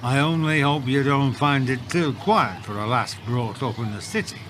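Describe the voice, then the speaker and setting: A man's voice: medium pitch, normal speed, a bit bright, slight background noise, lightly acted. A man playing a friendly, wry northerner in an audio drama, with a Northern English accent and folksy dialect. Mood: welcoming, wry. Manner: dialect-heavy, folksy, drily joking.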